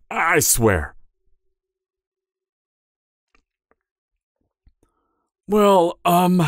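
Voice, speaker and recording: Male actor as awkward friendly giant, lively swooping intonation, unhurried pace, hesitant rambling, apologetic, ASMR roleplay. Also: on the low side, no echo, clean studio sound. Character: sheepish, chatty, friendly young male giant.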